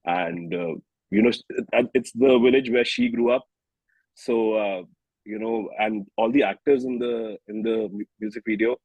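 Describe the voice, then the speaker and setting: Low-voiced Indian man, slightly husky, chatting. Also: steady tone, normal speed, no room sound, lo-fi sound. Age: early 30s. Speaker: singer-songwriter being interviewed. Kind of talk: casual interview chat.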